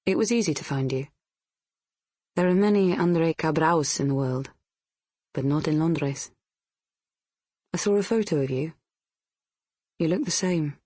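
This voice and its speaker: Casting: older Brazilian woman, slow husky delivery, wistful and reproachful, intimate audiobook drama. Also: low-pitched, mellow, no echo, studio quality. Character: older Brazilian mother writing a bitter, wistful letter. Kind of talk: audiobook narration in character.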